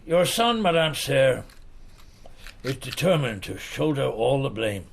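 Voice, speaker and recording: An actor in a radio drama playing a shrewd, relentless middle-aged detective chief, questioning deliberately with pauses in a husky, gravelly voice. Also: male voice, medium pitch, big pitch swings, exaggerated voice. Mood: grave, insistent.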